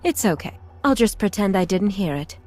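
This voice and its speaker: Woman acting as regal queen commander, medium-pitched, calm, poised, knowing, game dub, faint music behind. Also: normal speed, lightly acted.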